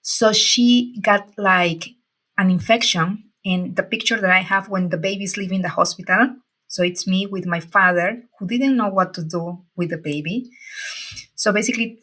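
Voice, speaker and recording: A woman in her forties from Peru, a guest telling her story in a casual interview, pausing and restarting as she searches for words. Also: on the low side, bright, forceful tone, low-quality recording. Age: 40s.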